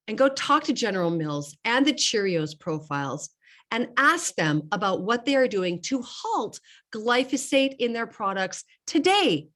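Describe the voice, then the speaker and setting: A woman's voice: medium pitch, fairly bright tone. A middle-aged woman getting over a slight cold, hosting a casual online group call in an emphatic, urging way, repeating key phrases for weight. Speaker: host and network marketing business coach.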